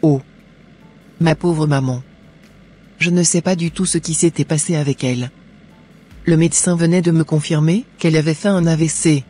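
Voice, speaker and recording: Man voicing a husky, mellow devout daughter. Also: fairly high pitch, fairly even intonation, moderate pace, pauses often. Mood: distressed. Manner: earnest, prayerful. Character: devout, worried daughter of a sick mother. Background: faint music.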